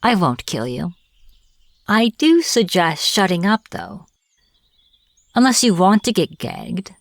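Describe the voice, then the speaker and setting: A woman playing a smug, teasing witch in an audio roleplay, taunting a captive in a playful, deliberate manner. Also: mid-range voice, big pitch swings, vocal fry, no room sound, exaggerated voice. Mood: teasing menace. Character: smug teasing young-sounding witch, captor of a hunter. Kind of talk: audio roleplay, acted monologue to the listener.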